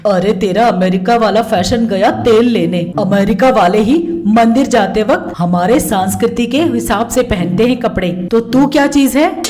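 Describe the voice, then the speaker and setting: Older village mother-in-law a woman acting her out, sharp-tongued and exasperated, on the high side, for a moral-comedy storybook tale. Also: a little slow, hardly any pauses, close to an everyday voice. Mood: scolding. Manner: sharp, scolding, sarcastic rhetorical questions.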